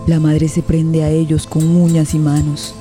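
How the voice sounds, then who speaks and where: Woman narrator, super mellow dark voice, sombre radio play, measured, light music behind. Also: low voice, completely flat delivery. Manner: measured, deliberate phrasing.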